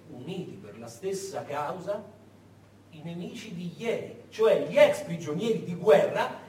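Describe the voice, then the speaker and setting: A male stage storyteller delivers a dramatic historical narration, often putting questions to his listeners. His voice is high and swings widely in pitch, heard in an echoey room. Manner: dramatic, addresses the audience with rhetorical questions. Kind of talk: theatrical narration of wartime history. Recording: echoey room, distant mic, faint noise.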